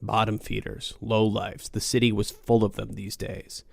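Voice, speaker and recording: A man's voice: lowish voice, level tone, moderate pace, creaky, no echo, clean studio sound. A man reading a first-person noir story as an audiobook narrator, in a gritty, dramatic manner.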